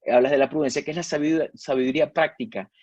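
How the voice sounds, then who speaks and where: A Venezuelan man on a discussion panel, speaking thoughtfully and hesitantly, pausing often with fillers and restarting his sentences. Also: a little high, fairly even intonation, a little mellow, dry recording, lo-fi sound. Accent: Venezuelan Spanish. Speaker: panel guest.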